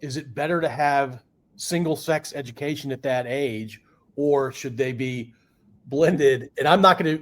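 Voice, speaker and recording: A man hosting a podcast, asking questions in a halting, hesitant way full of restarts. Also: medium pitch. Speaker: podcast host. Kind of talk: casual conversational interview.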